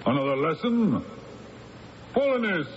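An actor playing an arrogant, drunken, cruel Roman official, blustering in radio drama style. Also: male voice, a little high, sing-song, unhurried. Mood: sneering. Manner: blustering, sneering. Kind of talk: 1980s radio drama acting. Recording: dull, noisy old radio broadcast recording.

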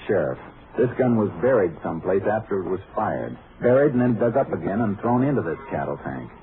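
An actor playing a shrewd, matter-of-fact Texas Ranger detective in a clipped 1950s radio drama style. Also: male voice, lowish voice, sing-song, fairly quick, slight background noise. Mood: urgent, sure. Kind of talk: 1950s radio drama acting, narration and dialogue. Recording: muffled 1950s radio recording, music.

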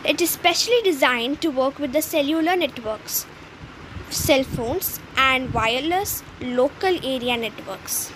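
A woman's voice: sits high, animated, measured pace, noisy background. A woman presenting a school textbook lesson by reading it aloud.

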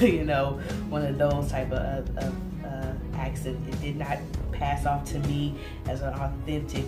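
A woman in her thirties with a low voice talks straight to camera in her own vlog. She is chatty and easygoing, often pausing on fillers. Loud background music plays in a roomy space. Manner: chatty and laid-back, with frequent fillers and laughs. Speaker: vlogger and host. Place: indoors in front of a virtual news-set backdrop.

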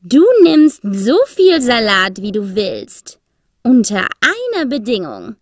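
A woman playing a menacing, commanding fairy-tale witch with a theatrical, drawn-out delivery in an animated children's story. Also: medium pitch, no room sound, clean studio sound. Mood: menacing, scheming.

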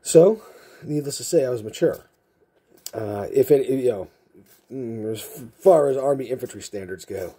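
A man from Massachusetts with a softened Massachusetts accent, chatting in a rambling, jokey way while sorting trading cards indoors, picked up by a camera mic from off-camera. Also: medium pitch, expressive, a little slow, husky. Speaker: card collecting channel host. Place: indoor room with card shelves.

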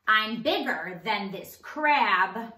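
A woman reading the part of a proud, childlike giant squid from a picture book, in drawn-out declarations. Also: mid-range voice, expressive, pushed and bright, roomy sound, pitched below the performer's own voice, exaggerated voice. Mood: boastful.

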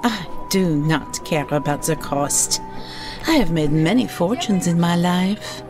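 An actress playing a wealthy, imperious art collector with a French accent, formal and grandly poised in an audio drama. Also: female voice, on the low side, slow pace, music in the background. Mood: grand, haughty. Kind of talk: full-cast audio drama acting.

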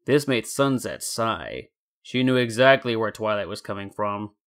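A man's voice: on the low side, lively intonation, unhurried, fairly bright tone. A man narrating fan fiction as a scripted story.

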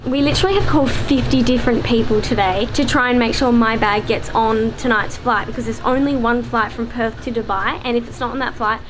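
A woman's voice: a little high, brisk, hardly any pauses, background noise. A woman in her twenties with an Australian accent, chatting casually and expressively as she vlogs on a handheld camera. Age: 20s. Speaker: vlogger. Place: car and hotel room. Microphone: phone or camera at arm's length. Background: background music.

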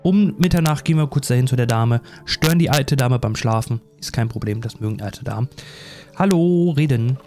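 A man's voice: medium pitch, a little fast, hardly any pauses, darkish tone, soft background music. A man doing casual, rambling let's play commentary in a self-deprecating, chatty way. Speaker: gaming YouTuber.

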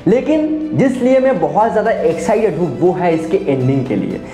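A man's voice: sits high, sing-song, normal speed, warm tone. A young Indian man giving casual, enthusiastic anime commentary for online viewers, often repeating phrases for emphasis. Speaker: YouTube anime reviewer. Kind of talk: casual video commentary addressed to friends. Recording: roomy echoey sound, faint music behind. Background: faint background music.

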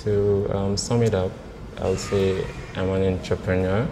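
A Nigerian man in his thirties on a blind date, talking in a hesitant, halting way with many restarts. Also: low voice, flat delivery, long reverb tail, background noise. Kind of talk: casual conversation. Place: indoor restaurant with large windows. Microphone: lapel mic.

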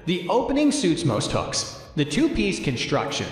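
High, lively man in echoey hall. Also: measured pace. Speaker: product review narrator. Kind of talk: scripted voice-over narration. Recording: heavy echo, long reverb.

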